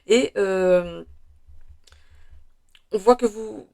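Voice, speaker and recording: A French woman with a slightly hoarse voice, chatting through a tarot card reading with many hesitations and fillers. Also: medium pitch, very slow, mellow. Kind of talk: casual weekly tarot reading.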